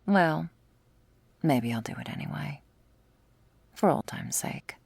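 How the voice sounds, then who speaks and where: A woman playing a sardonic, predatory vampire with a secret wistful side, in an intimate close-miked ASMR roleplay, wry and teasing, sometimes slipping into a breathy whisper. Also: low voice, lively intonation, slow, darkish tone, dry recording, heavily acted. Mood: resigned, teasing. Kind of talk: intimate ASMR roleplay, scripted monologue to listener. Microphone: close, dry studio mic.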